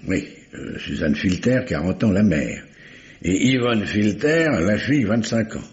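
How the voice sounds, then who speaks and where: A stammering, deep-voiced police commissioner. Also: male voice, expressive. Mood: musing, brisk. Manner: stammering, repeats himself, halting. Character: gruff, hesitant middle-aged male police commissioner. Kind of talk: mid-century French radio drama acting. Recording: dull, roomy vintage radio recording.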